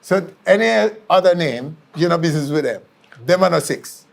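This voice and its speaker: A man with a Jamaican accent and a fairly high voice hosts an interview. He probes with questions and repeats answers back as he goes. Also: animated, moderate pace, creaky. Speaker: interview show host. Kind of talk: onstage-style television interview.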